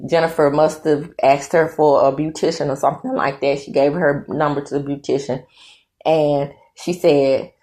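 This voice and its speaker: A woman's voice: deep voice, fairly even intonation, normal speed, mellow. A woman hosting her own commentary channel, giving her opinions in a chatty, emphatic way and tagging her points with "okay?".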